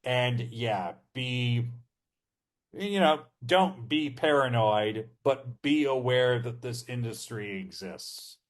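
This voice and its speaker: A man co-hosting a podcast, explaining things in a measured way with the odd um and uh. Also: medium pitch. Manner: measured, explanatory, with filler ums. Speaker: podcast co-host. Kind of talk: casual podcast conversation.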